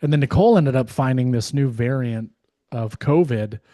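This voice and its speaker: A man co-hosting a podcast, hoarse and losing his voice, talking in a casual, rambling way with many fillers and restarts. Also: mid-range voice, moderate pace, warm tone, no echo, studio quality. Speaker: podcast co-host. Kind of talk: casual chat.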